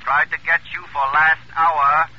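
An impersonal telegram clerk in old radio drama, very sharp and businesslike, lo-fi muffled recording. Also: male voice, fairly high pitch, flat delivery, a little slow, breathy edge, heavily acted. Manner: formal, mechanical reading. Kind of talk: 1940s radio drama acting.

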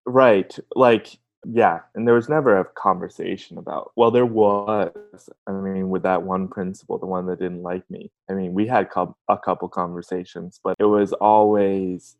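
A man's voice: sits low, fairly even intonation, normal speed, gravelly, few pauses, dull audio. A man in his late twenties from Virginia, a podcast guest who talks in a rambling, hesitant, self-mocking way. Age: late 20s. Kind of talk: casual podcast chat.

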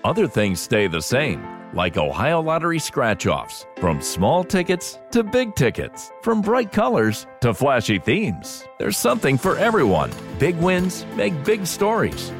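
A man reading a lottery commercial in a smooth, measured announcer delivery. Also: medium pitch. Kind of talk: lottery radio ad read. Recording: ad with music bed behind.

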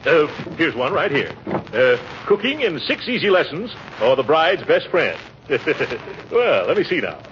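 A pompous American uncle in a vintage radio comedy, blustering and hemming his way along. His fairly high voice swings widely up and down, on a muffled old recording with heavy noise. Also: big pitch swings, unhurried. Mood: busy, confident. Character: pompous, blustering middle-aged uncle, flustered but eager. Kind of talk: 1940s radio comedy acting.

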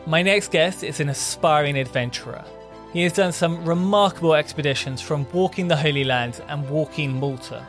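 A man hosting a podcast, giving a measured, welcoming presenter delivery in interviews and a scripted intro. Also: fairly high pitch, fairly bright tone, soft background music. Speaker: podcast host.

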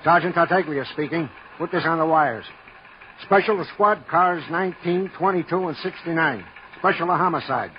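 A man's voice: on the high side, normal speed, natural voice. An American man playing a harried police sergeant on dispatch in an old radio crime drama, talking in clipped, businesslike phrases. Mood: brisk, official. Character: harried, plain-spoken male police sergeant working dispatch. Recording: dull, noisy old radio recording.

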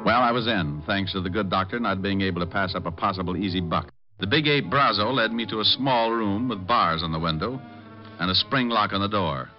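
A man playing a suave, wisecracking private detective in an old radio drama, glib, sardonic and quick-witted. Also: on the low side, close to an everyday voice. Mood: wry narration. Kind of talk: 1950s radio detective drama acting, narration and dialogue. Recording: dull old radio recording, music behind.

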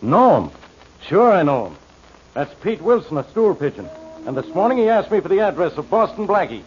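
An actor playing a gruff, stubborn police detective, blunt and bossy, in 1940s radio style on a muffled old recording. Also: male voice, high-pitched, big pitch swings, a little fast, a little mellow, faint music behind. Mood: grim, gruff.

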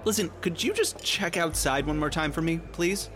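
A man's voice: on the high side, moderate pace, fairly bright tone, soft background music, close to an everyday voice. An actor playing a prickly, sarcastic, jittery male detective in a noir audio drama. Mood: flustered. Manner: sarcastic, grumbling, flustered.

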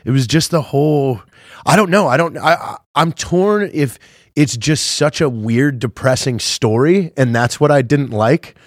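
A man chatting casually as a podcast guest, halting but emphatic, with some swearing. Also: mid-range voice, dry recording, studio quality.

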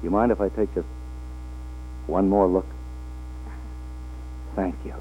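An actor playing a hard-drinking, flirtatious male film star in a 1940s radio drama, halting and repeating his words. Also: sits low, steady tone. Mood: tender, halting. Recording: old 1940s radio, tinny with hum.

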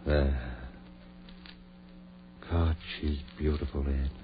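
Deep-voiced, obsessed surgeon. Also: male voice, little pitch movement, drawn-out words, full character voice. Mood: tender, fervent. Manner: clipped commands, insistent and self-assured. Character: obsessed male surgeon reviving a dead woman, coolly commanding. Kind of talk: 1940s radio horror acting. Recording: muffled, noisy 1940s radio recording.

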